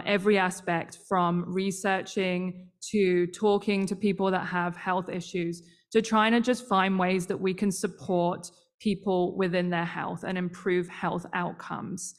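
A woman, a scientific director presenting slides on a video call in a friendly, measured way with frequent ums. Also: on the low side, flat delivery. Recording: roomy reverb.

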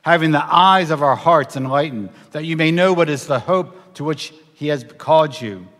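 A middle-aged male pastor preaches from the pulpit in a fairly high voice. He asks earnest questions and presses his points with emphasis. The church livestream recording carries a long reverb from the sanctuary. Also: moderate pace, fairly bright tone. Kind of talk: sermon with scripture reading and prayer.

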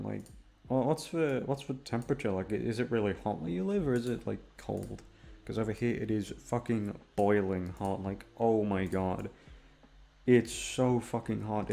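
Low, creaky-voiced man rambling casually. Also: warm tone. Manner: aimless, self-deprecating, heavy casual swearing. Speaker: voice actor and channel host. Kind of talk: casual rambling outro chat.

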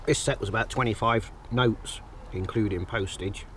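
Male vlogger, fairly low voice, deadpan casual delivery, recorded outdoors at a distance. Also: normal speed. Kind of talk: casual outdoor cooking commentary. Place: small brick-walled garden corner, outdoors. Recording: distant mic, noisy outdoor ambience.

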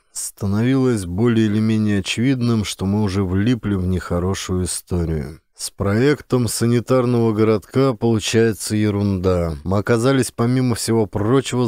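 A man's voice: on the low side, dry recording. A man reading a memoir as an audiobook narrator, expressively, in a close recording. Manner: expressive reading, acts out dialogue.

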